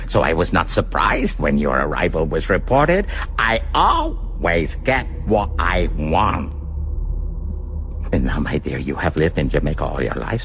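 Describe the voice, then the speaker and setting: A cold megalomaniac villain from a radio play, with a very low, creaky voice. He speaks formally and deliberately, with theatrical menace and sadistic relish. There is clear noise on the recording. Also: a bit bright, exaggerated voice. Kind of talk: radio drama acting.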